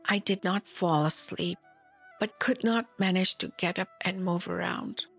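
Woman reading her memoir, really slow and solemn, lots of vocal fry. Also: lowish voice. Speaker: spiritual teacher, narrator. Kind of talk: scripted memoir narration, read aloud. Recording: music behind the voice. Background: music.

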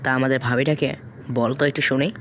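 A teasing young college guy , acted by a man banters mockingly in an audio love story. His voice is mid-pitched, with faint ambient noise behind it. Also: steady tone, fairly quick, a bit bright, lightly acted.

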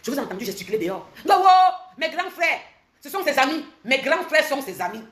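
A woman in her forties with a Cameroonian French accent, ranting on a phone livestream, switching between loud mockery and low, intense lecturing. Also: big pitch swings. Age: 40s. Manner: fast and confrontational, swings from loud mocking to low intense lecturing. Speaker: social media commentator. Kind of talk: heated livestream rant. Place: indoors, bright room. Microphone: phone held close.

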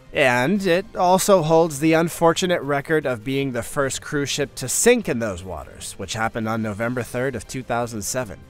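A man reading scripted documentary narration for a countdown video, measured and informative with the odd wry aside. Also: mid-range voice. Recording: faint music bed behind voice.

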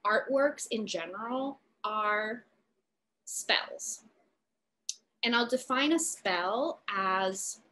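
Slow, thoughtful woman from Puerto Rico. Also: mid-range voice, rough recording. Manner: thoughtful, gestures a lot, many "like" fillers. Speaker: artist lecturer. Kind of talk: lecture over video call. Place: home room with bookshelves. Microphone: laptop webcam, video call.

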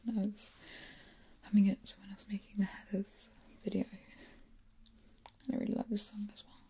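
A young woman whispering and softly singing lullabies as an ASMR host, recorded close on a binaural mic in a small room. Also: medium pitch, flat delivery, moderate pace, pauses often, slightly rough. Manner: soft whispering, sings lullabies quietly.

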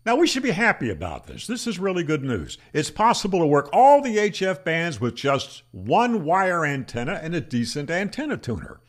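Older man explaining radio myths, lively pitch. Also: moderate pace, few pauses. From Florida. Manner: matter-of-fact, debunking tone with rhetorical questions. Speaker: ham radio educator. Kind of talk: explanatory talk to camera. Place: small indoor room. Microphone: studio microphone on boom arm.

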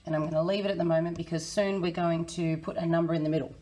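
A woman in her thirties with a croaky, hoarse voice, teaching a children's maths lesson in a friendly, encouraging way. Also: on the low side, steady tone, fast, warm tone. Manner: friendly, encouraging, talks to kids. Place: small indoor room.

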